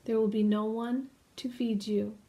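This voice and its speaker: Woman voicing a quiet, dying spider. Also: unhurried. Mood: firm. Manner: quiet, low and calm. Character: dying female spider, calm and wise.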